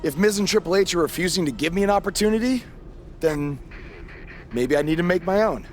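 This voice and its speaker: An actor playing a fired-up, defiant pro wrestler challenger in a wrestling game. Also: male voice, high voice, expressive, brisk, slight background noise. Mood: frustrated, determined.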